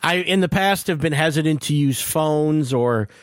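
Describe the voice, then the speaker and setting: A man's voice: a little high, moderate pace, no room sound, clean studio sound. A middle-aged man hosting a podcast, talking in a rambling, explanatory way about stand-up comedy. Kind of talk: casual chat. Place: podcast studio. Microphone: studio mic.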